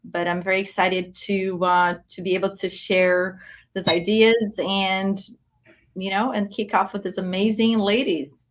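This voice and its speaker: A woman's voice: mid-range voice, little pitch movement, a little slow. A woman from Brazil who teaches culinary arts introduces herself on a video call, hesitant and full of fillers.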